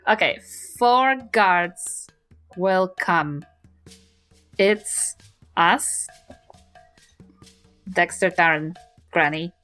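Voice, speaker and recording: Bright-voiced woman, halting and giggly. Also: on the low side, animated, faint music behind. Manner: halting, thinking aloud, giggly. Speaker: player in a tabletop RPG podcast. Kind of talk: casual improvised tabletop game chat.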